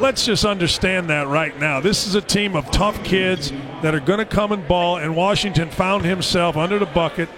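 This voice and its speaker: Enthusiastic male color commentator. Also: high-pitched. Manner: enthusiastic, opinionated analysis. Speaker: color commentator, former coach. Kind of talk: live sports commentary.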